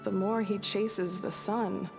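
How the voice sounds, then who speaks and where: Wise resolute female chieftain, game drama, slow, tender, reflective, long reverb, music behind. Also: mid-range voice, steady tone, gravelly. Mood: solemn, resigned. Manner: unhurried, gentle, reflective, firm. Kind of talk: dramatic video game acting.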